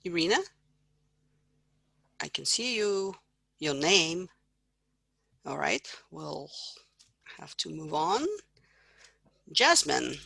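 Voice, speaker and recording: A woman professor leading an online class, greeting students and asking them to introduce themselves in an encouraging, brisk manner. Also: low voice, animated, vocal fry, sharp tone, slightly rough, no echo. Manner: upbeat, encouraging, brisk questions. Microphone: computer mic on video call.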